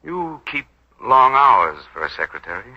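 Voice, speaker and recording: An actor playing a wisecracking, hard-boiled bodyguard with a flippant, wry manner in a 1940s radio drama. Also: male voice, mid-range voice, sing-song, normal speed, breathy edge. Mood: wry, flirtatious. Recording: dull, lo-fi 1940s radio recording.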